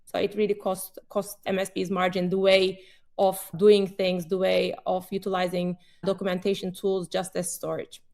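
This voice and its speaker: Turkish-accented woman explaining tech calmly. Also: mid-range voice, moderate pace, creaky. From Turkey. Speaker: webinar panelist, company CEO. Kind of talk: business webinar discussion.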